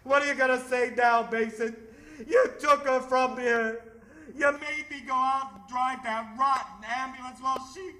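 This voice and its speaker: An actor playing a distraught, bitter and weary ambulance driver in old-time radio theatre, with an emotional, halting, stammering delivery. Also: male voice, very high voice, sharp tone, exaggerated voice. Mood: anguished, accusing.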